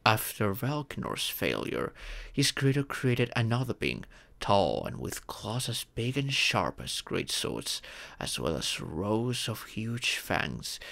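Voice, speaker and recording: A man playing a fatherly dragon mentor in an ASMR fantasy roleplay, patiently telling stories and teaching magic in a gentle, measured, instructive way. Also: on the low side, gravelly, heavily acted. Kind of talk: ASMR audio roleplay, scripted fantasy storytelling.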